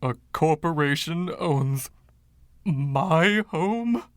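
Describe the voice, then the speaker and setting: A man's voice: on the high side, expressive, extremely slow, dry recording, clean studio sound, pitched above the performer's own voice. A man voicing the haughty, indignant ghost of a rich 1920s dandy, pompous and fussy, with old-fashioned slang, in a story reading. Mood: appalled.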